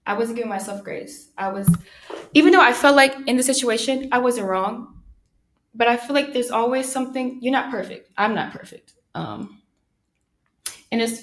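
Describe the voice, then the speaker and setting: A young woman hosting her own podcast, talking through personal thoughts in a soft, soothing voice, pausing often and using many fillers. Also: fairly high pitch. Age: young adult. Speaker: podcast host. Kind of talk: reflective personal monologue.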